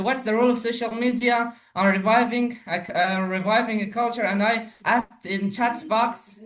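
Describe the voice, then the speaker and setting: Brief: man, guest speaker, slow and halting, distant echoey video call audio. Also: sits high, fairly bright tone. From Afghanistan. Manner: slow, halting, many fillers. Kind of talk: unscripted online talk.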